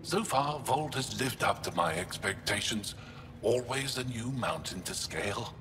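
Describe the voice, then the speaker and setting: Upbeat alien scientist. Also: male voice, medium pitch, animated, a bit bright, a little hiss or hum. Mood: brisk. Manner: brisk, matter-of-fact. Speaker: lead researcher. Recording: echoey game audio with ambience.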